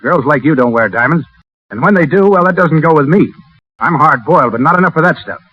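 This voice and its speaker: Fast, slangy hard-boiled legionnaire. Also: male voice, fairly high pitch, animated, slightly rough. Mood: bitter, cold. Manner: slangy tough-guy talk. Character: hard-boiled, proud American legionnaire private hiding tenderness. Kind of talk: 1930s radio drama acting. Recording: muffled 1930s radio recording, music behind.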